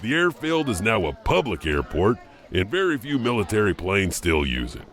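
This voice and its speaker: A man's voice: on the low side, sing-song, normal speed, a bit bright. A man hosting and narrating a horror anthology with a scripted, dramatic storyteller delivery. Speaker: host and narrator.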